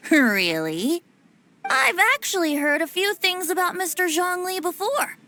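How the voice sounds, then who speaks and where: A voice actor playing a playful young male bard in a game, lilting, giggly and flattering. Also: extremely high pitch, measured pace, slight background noise, exaggerated voice. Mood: cheerful. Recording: game cutscene with music behind.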